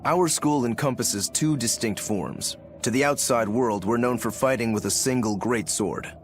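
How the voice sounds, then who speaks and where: A man voicing a determined swordsman demon in a video game, explaining things in a measured way. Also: medium pitch, close to an everyday voice. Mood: earnest, resolute. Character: determined swordsman demon, stoic younger brother to a master. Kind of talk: English video game voice acting. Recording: game music under the voice.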